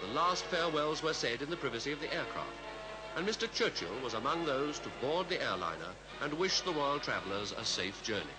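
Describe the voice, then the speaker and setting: A man with a British Received Pronunciation accent reading scripted 1950s newsreel commentary in a formal, measured announcer style. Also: mid-range voice, big pitch swings, poor audio. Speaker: newsreel narrator. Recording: vintage 1950s newsreel soundtrack with music.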